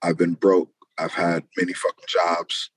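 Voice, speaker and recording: A man's voice: low voice, monotone, a little slow, husky, no echo. A man chatting casually as an interview guest, loose, slangy and freely swearing. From Queens, New York, United States. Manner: slangy, profane, loose and conversational. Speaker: interview guest, rap musician.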